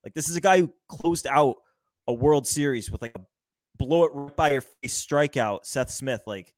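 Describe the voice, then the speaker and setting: Young dude hosting a sports pod, rambly, says like a lot, dry close sound. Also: male voice, medium pitch, normal speed, no echo. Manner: rambling, many "like" fillers. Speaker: podcast host. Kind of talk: casual sports podcast chat.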